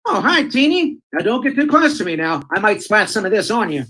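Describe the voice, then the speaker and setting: A woman's voice: mid-range voice, very animated, fairly quick, fairly bright tone. A woman playing a boastful, folksy middle-aged husband in an old-time radio comedy. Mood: genial, folksy. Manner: folksy, cocksure, tall-tale telling.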